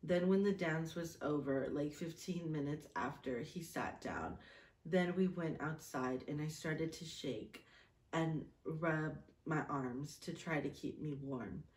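A woman in her late thirties reading a diary aloud with casual, expressive asides. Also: low-pitched, slow. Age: late 30s. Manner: expressive, giggly, self-interrupting. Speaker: vlogger mom reading her old journal. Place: small indoor room, seated at a table. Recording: roomy echo, distant mic.

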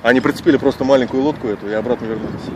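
A man's voice: mid-range voice, racing. Man with short replies in wind. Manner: brief agreeing replies. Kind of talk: casual chat while sailing. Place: outdoors on a sailboat on a lake. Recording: heavy wind noise on the mic. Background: wind and water.

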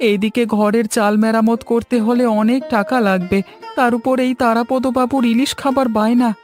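A woman's voice: on the high side, fairly even intonation, normal speed, a little mellow, faint music behind, natural voice. A woman voicing a poor young village grandson in a cartoon story, plaintive, questioning and grumbling. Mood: worried, grumbling. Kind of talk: Bengali cartoon story voice acting.

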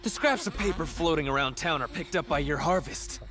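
Man playing a brash high-pitched teenage schemer. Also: fairly quick, fairly bright tone, lightly acted. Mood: smug, teasing. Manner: cocky, slangy, theatrical. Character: brash, scheming teenage delinquent boy, cocky and smooth-talking. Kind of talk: animated English-dub acting. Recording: background music under the voice.

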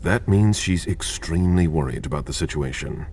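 An actor playing a stern, aristocratic vampire professor in a video game, with formal, measured, admonishing speech. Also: male voice, deep voice, darkish tone, slight background noise, natural voice. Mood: grave. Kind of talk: dramatic game cutscene acting.

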